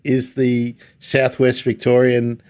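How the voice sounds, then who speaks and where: A man's voice: mid-range voice, monotone, slow pace, a little mellow, smooth. Inquisitive Australian man hosting a chat. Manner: inquisitive, rambling, self-deprecating. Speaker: livestream host and interviewer. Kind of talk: casual interview chat.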